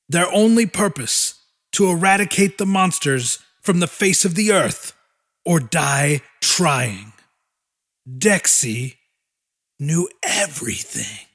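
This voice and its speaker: A man narrating a horror audiobook in a dramatic, deliberate style with weighty pauses. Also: a little high.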